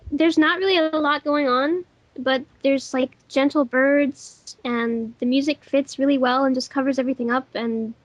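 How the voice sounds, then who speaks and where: A woman with a very high-pitched voice talks casually in a commentary. The sound is dry, with no room around her. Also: no echo, poor audio. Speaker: fandub voice actor and sound editor.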